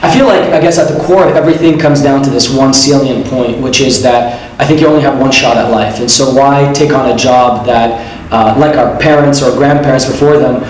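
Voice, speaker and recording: A man's voice: mid-range voice, a little mellow, slight background noise. Earnest man in a very echoey room. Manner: earnest and reflective, many "uh" and "um" pauses. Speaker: admissions consultant and company founder. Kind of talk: interview answers to an off-camera question. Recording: very echoey room, mic at a distance, faint ambience.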